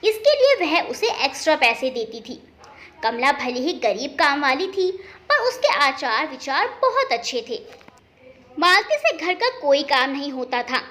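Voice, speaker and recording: High, animated woman storyteller. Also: unhurried. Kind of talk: expressive scripted narration of a family story.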